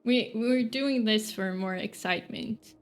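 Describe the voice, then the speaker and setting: A young woman streamer from Sweden, talking slowly in a slightly high voice while she rambles through her choices and restarts her sentences. Soft music sits faintly behind her. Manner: rambling, hesitant, self-correcting, giggly. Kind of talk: casual gameplay chat.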